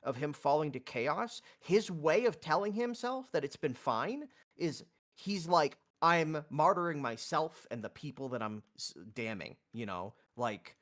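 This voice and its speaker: A man playing a tabletop roleplaying game, explaining his character in a halting, earnest way and often repeating words. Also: on the high side, lively intonation, a bit bright. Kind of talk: casual in-game roleplay discussion.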